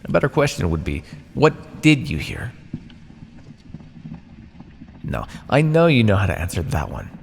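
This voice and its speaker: An ancient vampire captor played by a man taunts his prisoner in an ASMR roleplay, smug and patronising. His pitch swings very widely and drops into a gravelly creak. He leaves theatrical pauses, and the voice rings with long reverb. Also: wildly swooping pitch, heavily acted. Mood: suspicious, probing. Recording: long reverb, noisy background.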